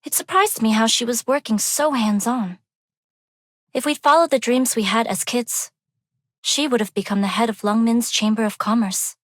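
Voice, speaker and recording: A woman voicing a refined young underworld heiress in a video game, speaking in a composed, measured and formal way. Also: on the high side, fairly bright tone, no echo, studio quality. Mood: reserved, reflective. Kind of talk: scripted game character voice lines.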